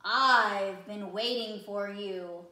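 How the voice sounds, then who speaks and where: A woman voicing a wise old female octopus in a storybook, grave and commanding, with slow, weighty delivery. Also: pushed and bright. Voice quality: deep voice. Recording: roomy, distant microphone.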